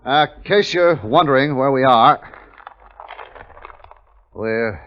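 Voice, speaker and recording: An actor playing a measured, authoritative army captain who narrates his wartime mission in a 1950s radio drama. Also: mid-range voice, animated. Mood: briskly commanding. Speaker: narrator and commanding officer. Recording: dull 1950s radio recording with music.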